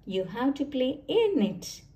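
A woman's voice: high-pitched, very animated, slow, mellow, heavily acted. A woman voicing a big friendly female bird in a storybook, proud and inviting as she exclaims.

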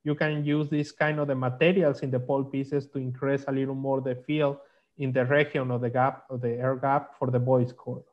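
A man explaining technical slides in halting, on a video call. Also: mid-range voice, little pitch movement. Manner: halting, non-native English phrasing. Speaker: guest, magnetics consultant. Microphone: video call microphone. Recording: dull lo-fi online call.

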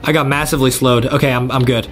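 A man's voice: medium pitch, steady tone, fast talker, a bit bright. A young American man hosting gameplay commentary in a hyped, exclamatory, slangy way. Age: young adult. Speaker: gaming YouTube host.

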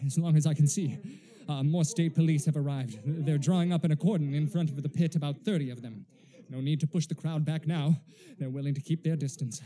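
An actor playing an awestruck, frightened field reporter in a 1930s-style radio drama, stammering and dramatic. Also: male voice, a little high, mellow, natural voice. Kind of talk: 1930s radio acting, eyewitness news report.

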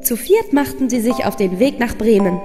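A woman's voice: a little high, lively intonation, moderate pace. A woman narrating a scripted fairy tale for children as the storyteller. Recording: long reverb under prominent music.

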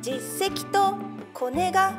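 Cute cat smart speaker. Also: female voice, very high voice, slow. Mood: cute, helpful. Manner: ends sentences with a cat-like "nya". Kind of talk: radio drama acting. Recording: with background music.

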